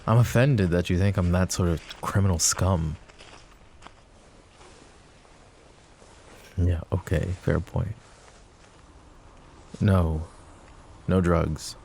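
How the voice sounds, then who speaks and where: A man playing a possessive, overprotective mafia boss in an intimate roleplay audio drama, with a low gravelly voice and a measured, commanding manner. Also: steady tone, a little mellow, a little hiss or hum, full character voice. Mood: dry, indignant. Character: possessive, overprotective male mafia boss, curt but caring. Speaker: voice actor.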